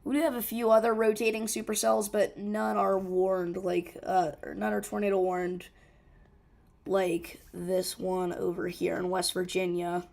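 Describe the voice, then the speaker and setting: A woman hosting a live severe weather stream, her voice hoarse and rough, talking urgently and informally with many fillers and restarts. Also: mid-range voice, flat delivery, measured pace, a little mellow. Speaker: severe weather livestream host.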